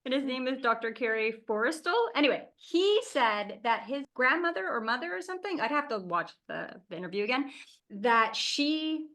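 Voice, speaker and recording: Higher-voiced woman telling a story. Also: animated, normal speed, bright, forceful tone. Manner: storytelling, laughs at herself. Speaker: book club podcast co-host. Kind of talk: casual chat.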